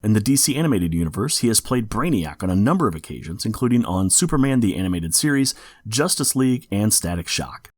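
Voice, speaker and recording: A man presents scripted podcast trivia as host. His voice is low and husky with very lively intonation, recorded close and dry. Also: fast talker, no echo. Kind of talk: informative scripted podcast narration.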